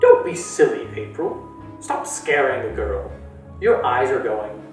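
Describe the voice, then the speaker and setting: A man doing a curt, brisk old actress lady. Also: mid-range voice, big pitch swings, very echoey, far from the mic, soft background music. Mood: scolding. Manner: curt and scolding. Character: brisk, no-nonsense old woman, retired actress.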